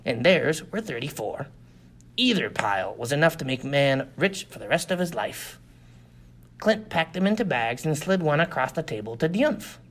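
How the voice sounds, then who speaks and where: A man's voice: fairly high pitch, very animated, normal speed, fairly bright tone. A man reading a science fiction adventure story as dramatic scripted narration. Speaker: audiobook reader.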